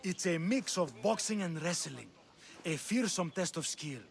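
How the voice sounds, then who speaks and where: An actor playing a wry, proud trainer of champion fighters in a game, with a dry, storytelling manner. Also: male voice, fairly high pitch, lively intonation, unhurried. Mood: wry, informative. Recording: clearly audible ambient background noise.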